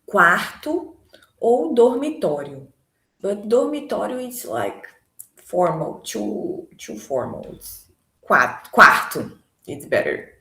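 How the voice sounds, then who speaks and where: A Brazilian woman whose first language is Portuguese, teaching it in English with pauses to search for words. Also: mid-range voice, sing-song, pushed and bright, reverberant. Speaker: language tutor. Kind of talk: conversational language lesson.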